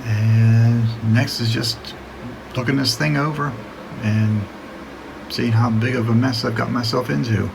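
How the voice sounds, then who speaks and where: A man with a gravelly voice narrating a casual hands-on video, hesitantly thinking aloud as he works. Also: mid-range voice, steady tone, a little slow, background noise. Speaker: hobbyist radio restorer narrating own video.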